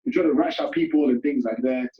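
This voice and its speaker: A man's voice: medium pitch, brisk. A man answering questions in a casual spiritual talk, who often says "you know" and "hallelujah". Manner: warm, repeats "you know", exclamations like hallelujah. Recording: echoey, dull lo-fi call audio.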